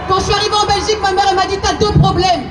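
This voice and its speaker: A woman in her twenties from Congo, giving an impassioned protest speech and shouting repeated calls to a crowd. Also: very high voice, steady tone, very fast. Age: 20s. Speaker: rally speaker. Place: outdoor square at a rally. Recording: echoey, far from mic, crowd and noise.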